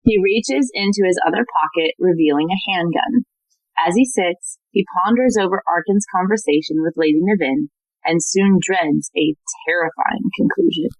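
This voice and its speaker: A woman's voice: fairly low pitch, moderate pace, slightly rough, no room sound, poor audio. A woman reading scripted stage directions as the narrator of an audio play.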